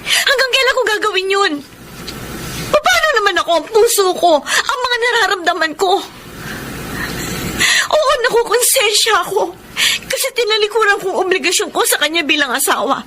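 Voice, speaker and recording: An actress playing an anguished wife torn between duty and love, emotional and pleading, in Tagalog radio drama. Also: female voice, very high voice, expressive, moderate pace, noisy background. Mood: tearful, anguished. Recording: music behind the voice.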